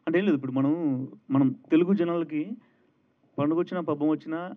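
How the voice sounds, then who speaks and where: Fast-talking man, tinny sound. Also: a little high. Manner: conversational, repeats phrases in lists. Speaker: film director. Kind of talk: press question-and-answer, informal explaining. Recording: tinny, narrow-band event sound.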